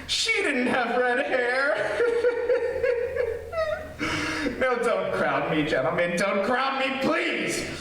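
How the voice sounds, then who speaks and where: Lonely guy losing his mind in a radio play, slow rambling, far from mic in big echo. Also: very high-pitched, very animated, pushed and bright, exaggerated voice. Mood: hysterical laughing. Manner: rambling, halting, repeating himself. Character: lonely, unhinged man addressing listeners, sliding from wistful to hysterical. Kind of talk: theatrical old-time radio drama acting. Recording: very echoey stage, distant mic, faint noise.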